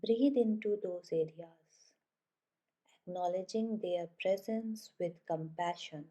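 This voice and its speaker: A woman guiding a meditation in a soothing, gentle way, giving measured, scripted instructions. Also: fairly low pitch, low-quality recording. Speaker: yoga nidra meditation guide. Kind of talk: guided meditation, scripted narration.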